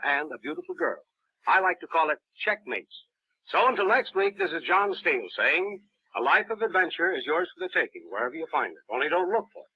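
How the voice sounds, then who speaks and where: An actor playing a genial adventurer who hosts radio tales, with an American accent and old-time storytelling delivery. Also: male voice, a little high, husky, full character voice. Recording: muffled old radio broadcast.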